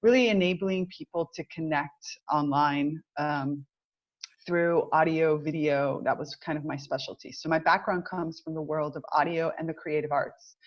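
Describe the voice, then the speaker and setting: A middle-aged Cuban American woman with a Cuban American accent, speaking as an interview guest in a measured, reflective way about spiritual ideas. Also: deep voice, dull audio. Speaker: mentor, guest. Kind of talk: interview conversation.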